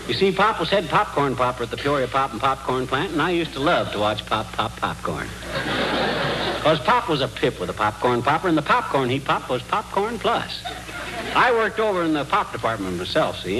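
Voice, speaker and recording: A glib, boastful husband from an old radio comedy. His delivery is lively and swooping, full of folksy patter. The vintage recording is clearly noisy. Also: fairly high pitch, brisk. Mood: boastful, gleeful. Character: boastful middle-aged husband spinning tall tongue-twister tales. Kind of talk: 1940s radio comedy acting.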